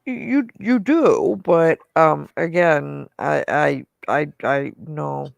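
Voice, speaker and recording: Woman stream host, very fry-heavy voice, chatty storyteller, dead-dry mic. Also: low-pitched, extremely slow, no echo. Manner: chatty storyteller, quotes herself in asides. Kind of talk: casual group chat on a stream.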